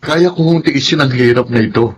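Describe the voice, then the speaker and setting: An actor playing an earnest, self-sacrificing young college student in a Filipino radio drama, speaking respectfully. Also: male voice, mid-range voice, a little fast, close to an everyday voice. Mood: impassioned pleading. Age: young adult. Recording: noisy radio drama track.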